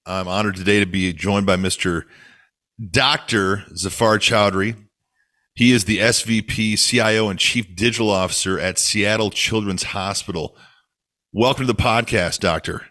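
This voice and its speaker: A man's voice: fairly low pitch, fairly even intonation, normal speed, sharp tone. A man hosting an interview podcast, asking questions in a friendly, informal way. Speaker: podcast host.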